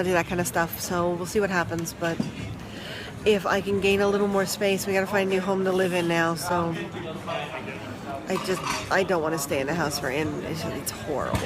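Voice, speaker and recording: A vlogger from Toronto, chatting casually with live viewers from a flea market stall, rambling with lots of restarts and trailing asides. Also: medium pitch. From Toronto, Canada. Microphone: handheld phone. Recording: busy outdoor market crowd.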